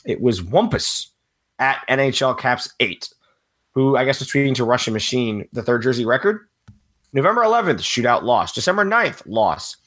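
A man co-hosting a sports podcast while he has a cold, sounding a little raspy, talking in a rambling, opinionated way and laughing often. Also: mid-range voice, sing-song, moderate pace, a bit bright. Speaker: regular podcast co-host. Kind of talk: casual sports podcast chat.

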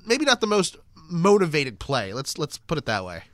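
A male podcast host with a bright, fairly high voice, nasal and stuffed up from a cold. He chats loosely and rambles about the games, and the recording is dry and close. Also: huge pitch swings, moderate pace, no echo. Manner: chatty, rambling, self-deprecating. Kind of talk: casual sports podcast chat.

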